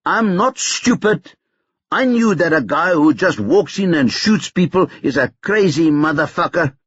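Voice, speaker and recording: A man's voice: fairly high pitch, expressive, measured pace, slightly rough, no echo, dull audio, pitched above the performer's own voice. Man doing a cocky swearing young hustler. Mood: taunting. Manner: taunting, swears a lot. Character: defiant young male hustler, cocky and crude.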